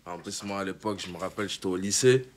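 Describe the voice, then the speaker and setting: A low-pitched young man from Congo chatting in a relaxed interview. He talks loosely, repeats himself and breaks into laughter. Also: racing. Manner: laid-back, repeats words, laughs often. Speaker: rapper guest. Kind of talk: casual interview chat.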